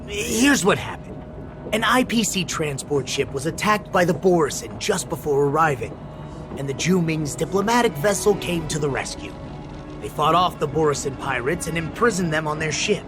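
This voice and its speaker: A man's voice: a little high, lively intonation, normal speed, natural voice. A man voicing a conciliatory patrol officer in a video game, giving explanations in a polite, orderly way. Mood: polite, explaining. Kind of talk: English video game voice acting. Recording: music behind the voice. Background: game music.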